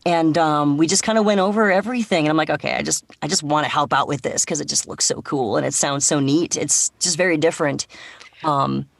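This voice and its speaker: A woman voice actor chatting casually and excitedly on a panel, slipping into quoted reactions in an informal way. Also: deep voice, a little fast, gravelly, no echo. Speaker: voice actor and casting helper.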